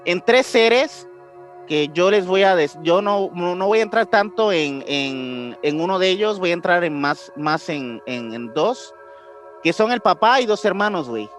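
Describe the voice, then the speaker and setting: A man's voice: high-pitched, lively intonation, a little slow, fairly bright tone. A man with a Puerto Rican accent hosting a podcast, explaining things informally with slang and repeated phrases. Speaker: podcast host. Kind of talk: casual podcast talk with explanations. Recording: music playing behind the voice. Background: music.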